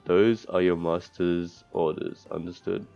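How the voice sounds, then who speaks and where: Low gravelly guy doing a visual novel lets play, swears a lot, kinda muffled mic w game music. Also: fairly even intonation, unhurried. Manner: crude, profane, sardonic asides. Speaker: streamer playing a visual novel. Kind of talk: let's play, reading game text aloud with commentary. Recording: dull lo-fi mic, music behind.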